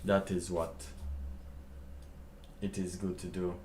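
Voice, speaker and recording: A man live streaming, chatting casually in a halting, rambling way with lots of fillers. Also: sits low, little pitch movement, a little mellow, a little hiss or hum. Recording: roomy, distant mic with faint ambience.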